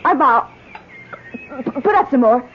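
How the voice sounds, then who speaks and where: A woman's voice: sits high, expressive, moderate pace. An actress playing a headstrong, defiant young woman on a jungle dig in an old-time radio drama, dismissive and impatient. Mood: ravenous. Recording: dull vintage radio recording, faint noise.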